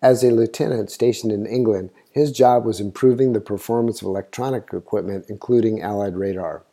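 A man's voice: fairly low pitch, fairly even intonation, a little fast, dark tone. A man hosting a history show, reading a scripted narration in an informative storytelling way, now and then stumbling over a word. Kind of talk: scripted narration, read aloud.

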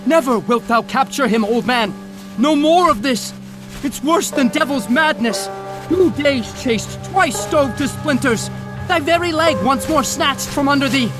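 A desperate whaling ship's mate pleads in an audio drama. His impassioned, archaic cries sit extremely high in pitch, with clear music behind. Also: lively intonation, unhurried. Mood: desperate, outraged.

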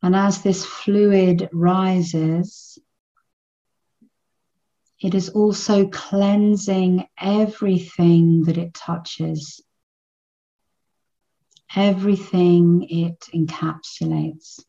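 Woman doing guided meditation, real slow n soothing, lowish voice, bit muffled recording. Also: fairly even intonation, mellow. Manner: soothing, unhurried, with long pauses.

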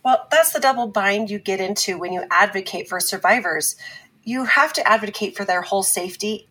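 Firm, deliberate female pundit for a podcast drama, earnest with a rough-edged husky tone. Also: on the high side, pushed and bright. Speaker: talk-show commentator. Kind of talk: scripted fiction podcast acting.

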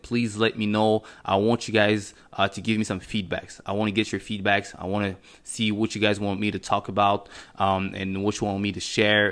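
Earnest young French Canadian man, low voice. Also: a bit bright. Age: 20s. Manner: earnest, motivational, many fillers and restarts. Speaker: podcast host. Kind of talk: casual solo podcast monologue.

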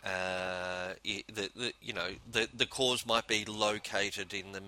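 A man's voice: on the low side, fairly even intonation, a little slow, sharp tone. A man co-hosting a casual podcast, a native Spanish speaker, talking hesitantly with many ums and restarts. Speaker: podcast co-host.

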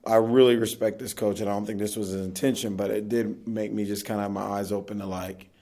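A man's voice: lowish voice, level tone, fast, dark tone. A man who is a pastor, a podcast guest talking casually and earnestly about his experiences. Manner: earnest, reflective, speaks of faith. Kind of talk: casual conversational interview.

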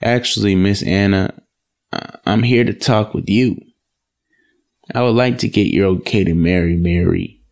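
An earnest young man in love, acted by a man for an audio drama. His voice sits very low with a gravelly creak. He speaks politely and respectfully, like a devoted son. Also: measured pace, darkish tone.

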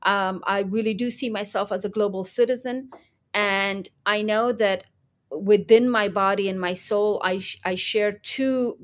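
A middle-aged woman from Afghanistan, answering an interviewer earnestly and reflectively, with many pauses and restarts. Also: mid-range voice, steady tone. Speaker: interview guest.

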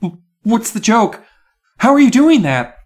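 A flustered businesswoman from a storybook, as a man reading aloud puts her on. She is apologetic and uneasy, her voice lifted high and moving up and down a lot. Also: sing-song, normal speed, faint music behind. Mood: alarmed disbelief. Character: flustered, apologetic woman running a body-swap business.

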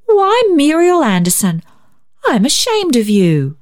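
A woman's voice: high-pitched, wildly swooping pitch, unhurried, darkish tone, voice pushed up, full character voice. A woman reading a story, voicing an earnest, anxious young woman with an emotional, hesitant manner. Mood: shocked horror. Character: Barbara, earnest, easily shocked young woman, anxious sister.

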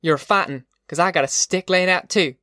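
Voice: medium pitch, brisk, pushed and bright, no room sound, studio quality, voice pushed up, natural voice. A narrator voicing a tough, determined middle-aged farm mother in an audiobook, with blunt, folksy rustic speech. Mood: defiant, scolding. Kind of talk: audiobook character voice.

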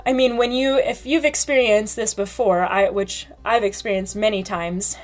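A woman hosting a health podcast, talking frankly in a chatty way with many fillers. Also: medium pitch. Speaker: podcast host. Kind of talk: casual health podcast talk.

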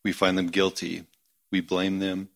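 Very deep-voiced man leading a solemn prayer. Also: monotone, vocal fry, fairly bright tone. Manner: solemn, measured, phrase by phrase. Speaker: prayer leader. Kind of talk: spoken prayer, recited.

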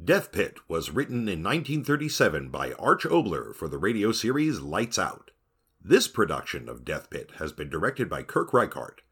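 A man's voice: low voice, fairly bright tone, breathy edge. A man reading scripted closing credits as the announcer of an audio drama show.

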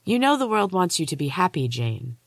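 A woman's voice: lowish voice, sing-song, a little fast, no room sound. Woman playing a syrupy, menacing female clinic worker. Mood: falsely sweet. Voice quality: syrupy sweet, strained. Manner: clenched, coaxing condescension.

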